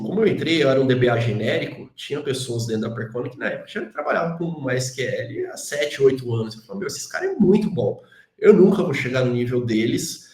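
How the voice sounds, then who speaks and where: A talkative Brazilian man with a Brazilian Portuguese accent, chatting as an interview guest in a middle-pitched voice. He strings his thoughts along with tag questions and self-deprecating asides. The sound carries a roomy echo. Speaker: guest, database engineer. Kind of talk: casual interview chat.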